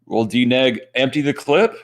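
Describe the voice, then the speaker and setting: A man from Michigan with a Midwestern American accent, giving relaxed, joking live poker commentary. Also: mid-range voice, measured pace. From Michigan, USA. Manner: joking, laid-back banter.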